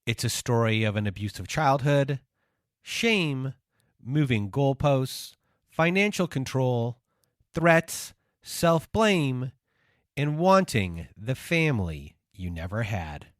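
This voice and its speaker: A man's voice: mid-range voice, expressive, slow pace, no echo. Earnest male podcast host, dry sound. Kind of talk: podcast introduction.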